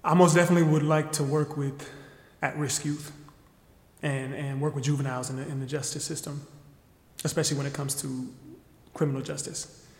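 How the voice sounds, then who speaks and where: A man's voice: on the high side, level tone, brisk, natural voice. An actor playing an earnest, reflective man in his twenties who was exonerated and tells his story in an audio drama. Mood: halting, sincere. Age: 20s. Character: earnest 24-year-old exonerated man, resilient, reflective, forgiving. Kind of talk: audio drama monologue and interview. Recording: reverberant hall with faint music.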